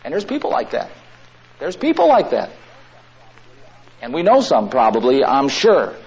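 A man preaching a sermon to a room of listeners, drawing out his words with long pauses. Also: medium pitch, huge pitch swings, darkish tone. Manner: slow, drawn-out phrasing with long pauses. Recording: dull lo-fi recording.

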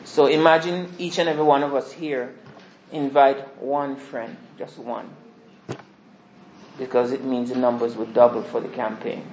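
Soft-spoken preacher in an echoey hall. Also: male voice, steady tone, moderate pace, a little hiss or hum. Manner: soft-spoken, rambling with restarts. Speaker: visiting preacher. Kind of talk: informal sermon introduction. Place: small church hall. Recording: echoey hall, dull low-fi sound.

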